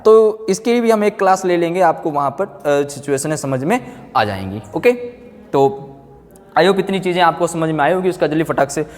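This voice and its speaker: Higher-pitched male teacher in a reverberant hall. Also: expressive, moderate pace. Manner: instructive, asks questions and answers them himself; repeats key terms for emphasis. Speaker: physics teacher. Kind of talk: classroom exam-prep lecture in Hindi mixed with English terms.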